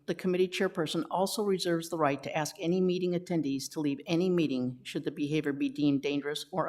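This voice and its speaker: A woman with a slightly low voice chairs a community committee meeting. She reads formal procedures aloud in an even, businesslike way. She drops in teasing jokes for the room.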